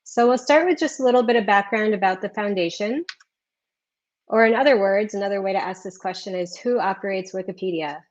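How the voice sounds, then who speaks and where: A woman gives a friendly presentation on a video call, dotted with ums. Her voice sits at a middle pitch, and the lo-fi call audio dulls it. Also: brisk. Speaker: research community officer. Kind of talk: presentation talk.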